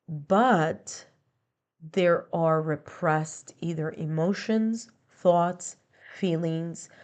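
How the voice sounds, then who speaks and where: A woman's voice: sits low, drawn-out words, a little mellow. Stuffy-nosed woman giving a tarot reading. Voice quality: stuffy nasal sound. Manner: explanatory, repeats points with strings of near-synonyms. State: stuffy, congested from being under the weather. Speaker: tarot reader. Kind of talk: conversational tarot and astrology reading.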